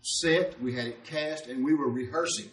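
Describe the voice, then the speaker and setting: A man speaking conversationally in a documentary interview, halting now and then mid-sentence, as a theatre troupe member. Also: fairly high pitch. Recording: roomy echo, distant microphone.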